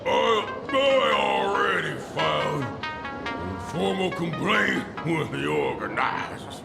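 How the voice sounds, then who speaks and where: A man playing an excitable, boastful game character who gulps for air and shouts in broken bursts. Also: fairly high pitch, takes it slowly, creaky, exaggerated voice. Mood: indignant, ranting. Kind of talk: theatrical game character acting. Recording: echoey, loud music behind.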